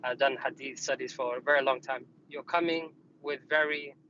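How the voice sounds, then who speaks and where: A man's voice: mid-range voice, steady tone, brisk, bright, forceful tone, slight background noise. Hesitant male caller on a dull line. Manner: hesitant, polite, many fillers. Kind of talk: phone-in conversation.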